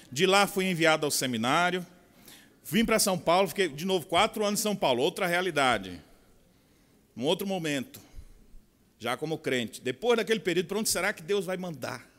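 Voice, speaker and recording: A middle-aged Brazilian pastor with a Bahian accent preaches at a conference. His voice sits a touch high for a man, and he moves between soft asides and loud, drawn-out emphasis. The sound rings with the long reverb of a large hall. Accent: Bahian Portuguese. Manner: expressive, swinging from quiet asides to loud slow emphasis. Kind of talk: sermon.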